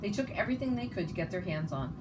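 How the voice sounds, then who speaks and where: A woman's voice: medium pitch, lively intonation, fast talker, recorded from a distance. A woman reading a children's story aloud expressively, sometimes stumbling and rereading words. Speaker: storybook reader. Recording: noticeable background noise.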